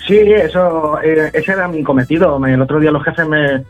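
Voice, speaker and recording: A man's voice: fairly high pitch, steady tone, moderate pace, muffled recording, faint music behind. Nervous stammering young man. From Madrid, Spain. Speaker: new radio intern.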